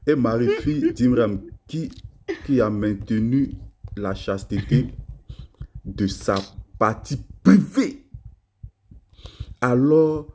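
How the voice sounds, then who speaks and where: A man's voice: mid-range voice, animated, takes it slowly, pauses often, warm tone, a little hiss or hum. A man hosting a live religious debate stream, reading verses aloud and laughing often with his callers. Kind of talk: casual live debate chat.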